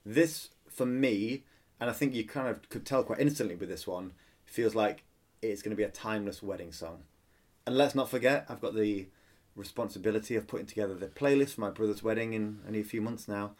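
A man's voice: lowish voice, a little fast, a little mellow. A man co-hosting a podcast, chatting enthusiastically about pop songs with playful asides and frequent hesitations. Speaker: podcast co-host. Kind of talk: casual chat reviewing an album.

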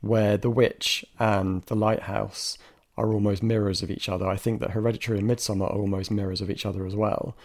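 A man's voice: fairly low pitch, little pitch movement, fairly quick, darkish tone. A man co-hosting a casual film discussion, chatty and thoughtful, with frequent restarts and hedges. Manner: chatty, many restarts and hedges, bursts of laughter. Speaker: film podcast co-host.

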